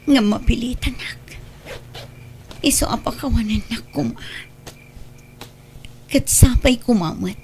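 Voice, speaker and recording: A woman's voice: a little high, gravelly, a little mellow, a little hiss or hum. A woman playing a troubled, guilt-ridden wife who longs for a child in an Ilocano radio drama.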